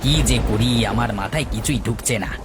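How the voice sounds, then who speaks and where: A man's voice: medium pitch, brisk, close to an everyday voice. A man playing a weary, worried poor village father in a cartoon story. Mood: despondent. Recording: noisy track with rain ambience.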